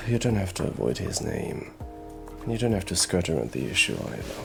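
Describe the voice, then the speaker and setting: A man playing a gruff, sardonic detective in an audio roleplay drama, drawling and murmuring through his pauses. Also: low voice, sing-song, full character voice. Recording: music behind the voice.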